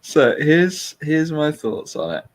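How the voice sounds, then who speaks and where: A man's voice: fairly high pitch, very animated, slow pace. A British man hosting a casual political chat, speaking bluntly with swearing and a self-deprecating streak. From Britain. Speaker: host.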